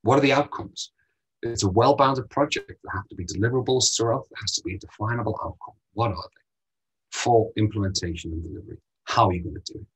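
A man in his thirties presenting a funding webinar over slides from a small room on a video call, direct and brisk, firing off rhetorical questions with frequent ums. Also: fairly low pitch, husky, low-quality recording.

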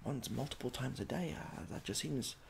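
A young man doing a soft-spoken ASMR roleplay as a medical examiner, halting and awkwardly polite, in a small room at home. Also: mid-range voice, gravelly, a little hiss or hum. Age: young adult. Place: small domestic room indoors.

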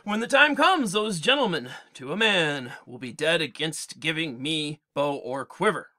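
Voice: medium pitch, expressive, unhurried, pushed and bright. Old king back home bossing his men around, firm, teacher reading it aloud. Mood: commanding. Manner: firm, giving orders. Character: disguised old king, commanding warrior.

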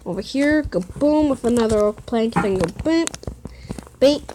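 Woman builder, unhurried casual tutorial voice, steady noise with running water behind. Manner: counts aloud and says boom while placing blocks. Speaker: game builder.

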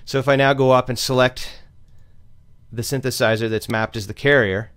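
A man presenting a step-by-step software tutorial in an explanatory, instructional way. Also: medium pitch, fairly even intonation, normal speed, clean tone.

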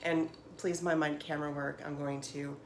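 Low-voiced, chatty American woman vlogger. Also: flat delivery, normal speed. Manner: enthusiastic, chatty, emphatic. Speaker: home cook and vlogger. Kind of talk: casual unboxing vlog talk to camera. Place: small home kitchen, indoors. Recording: clearly noisy home room recording.

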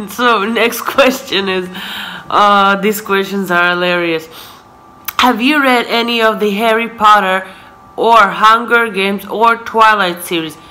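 A woman's voice: medium pitch, slow, pushed and bright, poor audio. A woman in her twenties whose first language is Hebrew, chatting casually through a question-and-answer vlog, often laughing and saying "so yeah.". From Israel. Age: 20s. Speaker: vlogger. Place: living room, sofa, indoors. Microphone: camera in front at close range.

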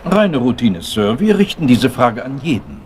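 An actor in a German crime radio play playing a courteous Scotland Yard chief inspector who questions methodically and with dry insistence. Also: male voice, medium pitch, sing-song, moderate pace, a little mellow, slight background noise, close to an everyday voice. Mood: matter-of-fact probing.

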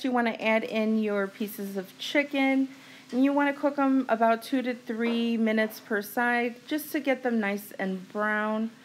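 A woman with a slightly high voice chats through a recipe in her own kitchen. She explains each step plainly and kindly, with the odd filler. A faint household hum sits under her voice. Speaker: cooking vlogger. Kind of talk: casual cook-along narration. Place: home kitchen.

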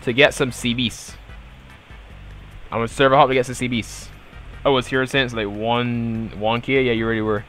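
Laid-back Bajan gaming streamer. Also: male voice, mid-range voice, little pitch movement, normal speed, pauses often, a bit bright, faint music behind. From Barbados. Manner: laid-back slangy banter, trailing off into mumbled fillers. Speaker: gaming livestreamer. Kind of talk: casual live stream chat, reading chat aloud.

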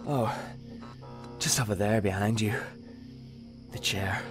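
A man playing a deranged, self-righteous serial killer in a dramatic audio drama, halting and menacing, sometimes dropping into a whisper. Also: lowish voice, music in the background. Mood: taunting, gleeful.

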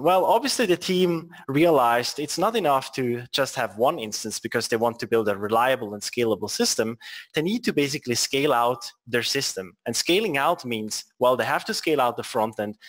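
A man's voice: medium pitch, fairly bright tone. A man from Switzerland with a Swiss accent, presenting a technical webinar with joking asides and frequent restarts.

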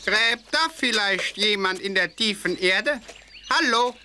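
A man voicing a friendly, philosophical blind mole in a children's story, musing and gently explaining. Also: sits high, animated, slow pace, slight background noise, exaggerated voice. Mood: inquiring. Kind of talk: children's animated audio story acting.

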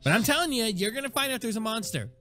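Roleplay player, middle pitch, very animated delivery, insistent and defensive, faint music under. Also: male voice, fast talker. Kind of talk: casual Minecraft roleplay.

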